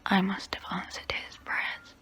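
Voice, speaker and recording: Casting: ancient female vampire played by a woman for ASMR role play. Breathy whisper, crisp bright edge, unhurried, proud yet wistful. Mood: intimate, wistful. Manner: slow, intimate, close-up, deliberate pauses.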